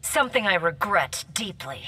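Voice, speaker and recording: An actress playing a proud, resolute young warrior princess in a video game, speaking in formal, measured commands. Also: female voice, fairly low pitch, very animated, sharp tone, far from the mic, a little hiss or hum. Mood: defiant. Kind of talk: scripted video game fight dialogue.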